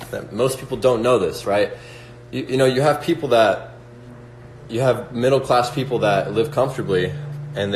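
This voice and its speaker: A man in his twenties hosting a casual livestream, talking to viewers in a motivational way with lots of "like" and "right?". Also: medium pitch. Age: 20s. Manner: motivational, reads out chat questions, many fillers like "like" and "right?". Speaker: livestream host. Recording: echoey room with faint hum.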